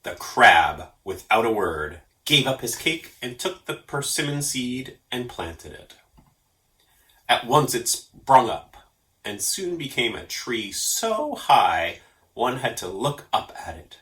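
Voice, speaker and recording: A man reading a story aloud as an expressive narrator. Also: medium pitch, slow pace, rough recording. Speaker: storyteller for a library story program.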